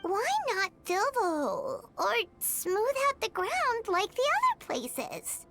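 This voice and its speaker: Squeaky, very high childlike companion. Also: female voice, wildly swooping pitch, slow pace, over music, exaggerated voice. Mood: puzzled. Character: tiny childlike female companion, chirpy, loyal, earnest. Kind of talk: video game dialogue.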